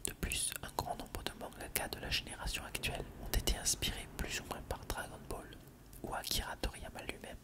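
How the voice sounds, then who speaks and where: A person reading an encyclopedia article aloud for ASMR in a breathy, unvoiced whisper. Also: brisk. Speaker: ASMR reader.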